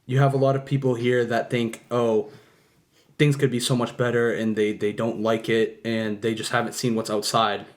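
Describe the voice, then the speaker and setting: A man's voice: medium pitch, level tone, normal speed. A man talking casually in a family conversation, rambling and often checking that he is understood. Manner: rambling, keeps asking "you know what I'm saying". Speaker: family member on the podcast.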